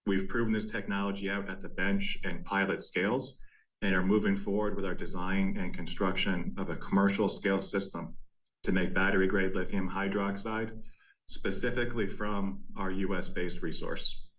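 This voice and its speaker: A man speaking as a company executive, delivering a prepared earnings presentation in a measured, formal way. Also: sits low, flat delivery, fairly bright tone, rough recording. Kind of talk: prepared corporate presentation, scripted narration.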